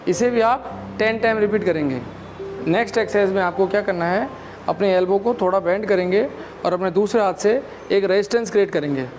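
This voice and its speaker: A man in his thirties, a physiotherapist, calmly explaining exercises step by step in a clinic room, on a lapel mic. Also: sits high, a little fast, faint music behind. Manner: calm, instructive, explains step by step.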